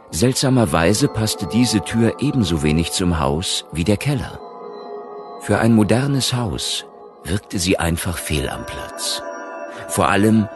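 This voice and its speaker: A man narrating a scripted horror audiobook in a measured, articulate storytelling manner. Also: low voice. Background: background music.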